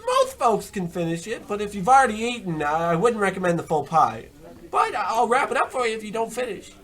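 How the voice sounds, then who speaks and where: An actor as a lively, surly diner cook. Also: medium pitch, a little fast. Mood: brisk. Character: brisk, surly diner cook serving customers. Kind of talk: comic radio play acting.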